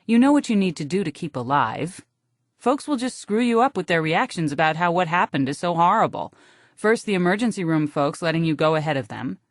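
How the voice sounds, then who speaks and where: A woman with an American accent reads a literary first-person audiobook in a wry, deadpan manner, close on the microphone. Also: on the low side, brisk, dry recording. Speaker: audiobook narrator.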